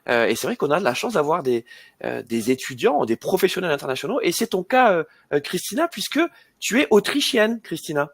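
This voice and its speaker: A man's voice: a little high, big pitch swings, fairly quick, gravelly, a bit bright, no echo. Hesitant male webinar host, dry sound. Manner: hesitant, many fillers and repeated words. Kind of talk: webinar hosting. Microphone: video call.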